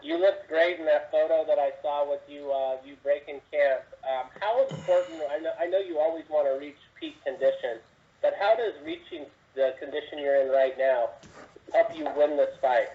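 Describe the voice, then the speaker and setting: A man's voice: fairly high pitch, lively intonation, moderate pace, recorded from a distance. Male reporter on a dull phone line. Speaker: sports reporter. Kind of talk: conversational, rambling interview questions.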